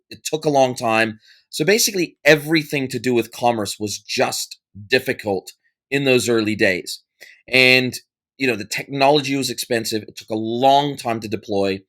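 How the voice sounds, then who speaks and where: A man's voice: mid-range voice, fairly bright tone. A man in his forties with an American accent, a podcast guest and consultant telling an informal story with many restarts and fillers. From United States. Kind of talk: conversational interview answer.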